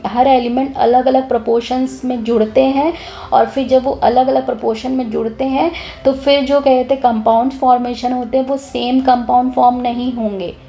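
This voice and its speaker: A young woman, slightly hoarse, explaining a chemistry lesson in a casual, lively way, often restarting her sentences. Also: high voice. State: hoarse, clears her throat. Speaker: chemistry teacher.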